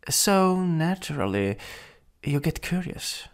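A jealous, possessive supervillain from an ASMR roleplay , acted by a man, speaks with an Italian accent in a slow, teasing drawl. His creaky voice swings widely in pitch as he taunts, and it slips at times into a whisper. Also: big pitch swings, heavily acted. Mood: jealous, needling.

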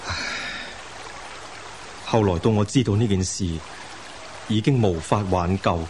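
Actor as a sighing low-voiced scholar-official. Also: male voice, measured pace, noisy background, close to an everyday voice. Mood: mournful, yearning. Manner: sighing, earnest, formal. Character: remorseful lovesick scholar-official of old China. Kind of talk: Cantonese radio drama acting.

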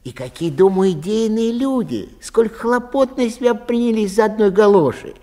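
Man acting an effusive, naive little citizen, swooping pitch. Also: animated, normal speed, dark tone. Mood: delighted, effusive. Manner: colloquial, earnest, effusive. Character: naive, pleading, grateful man who lost a galosh. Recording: long hall reverb.

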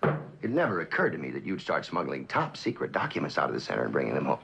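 An actor playing a sly, cynical spy and false friend in a theatrical mid-century TV drama style. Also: male voice, very deep voice, wildly swooping pitch, creaky, a bit bright, slightly rough, heavily acted. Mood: smug. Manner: theatrical, sly, musing with dramatic pauses and chuckles. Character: suave, cynical spy, a traitorous best friend who loves the game. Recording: dull old television soundtrack.

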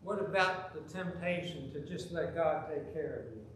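Middle-aged male pastor, high-pitched and animated, preaching in a very reverberant sanctuary. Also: normal speed, a little hiss or hum. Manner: animated, gesturing, rising to loud emphasis. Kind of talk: sermon with storytelling. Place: large church sanctuary, at the pulpit. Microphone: pulpit microphone, filmed from far camera. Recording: very echoey church with faint ambience.

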